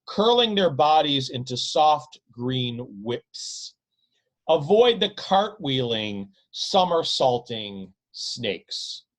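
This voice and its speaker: A man reading his own novel aloud as literary narration, in a deliberate, dramatic manner with drawn-out, emphatic phrasing. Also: medium pitch.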